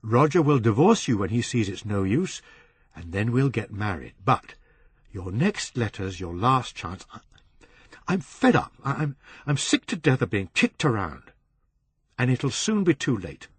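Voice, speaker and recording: A man's voice: fairly low pitch, sing-song, moderate pace, slightly rough, no echo, exaggerated voice. A man voicing a bitter, rash jilted man who snaps in a raised voice in a storybook reading. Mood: insistent. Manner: snapping, raised voice.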